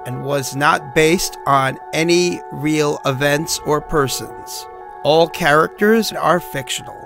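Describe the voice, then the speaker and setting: A man reading scripted end credits as a narrator, with a measured, deliberate announcer delivery. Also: on the high side, vocal fry.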